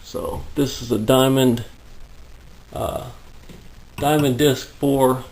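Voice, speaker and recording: A man shows off his tools and builds in an unhurried, rambling way, his voice gravelly and worn raspy and a little out of breath. He speaks in a low, flat drawl with little rise and fall. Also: low-quality recording. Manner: relaxed, rambling explanations with many fillers. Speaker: prop maker giving a workshop tour. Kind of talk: casual workshop tour. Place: hot, humid home workshop.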